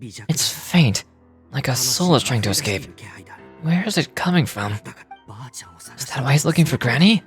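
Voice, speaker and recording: An actor playing a curious young watcher in a video game, anxiously wondering and thinking aloud. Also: male voice, mid-range voice, expressive, unhurried, pauses often, faint music behind, natural voice. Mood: worried, wondering. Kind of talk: dramatic game voice acting.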